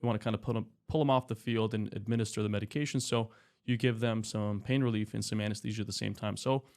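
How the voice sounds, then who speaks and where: A man in his thirties, a doctor and podcast guest, explaining his work in a relaxed, chatty way with many fillers. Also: on the low side, quick pace. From Spain, raised in California. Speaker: anesthesiologist guest. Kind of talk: casual interview talk.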